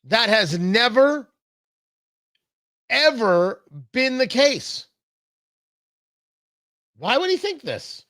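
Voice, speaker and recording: A man hosting a talk radio show, delivering an opinionated political monologue theatrically, with emphatic repeats and dramatic pauses on a close studio mic. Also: very high voice, sing-song, sharp tone, no room sound. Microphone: close studio microphone.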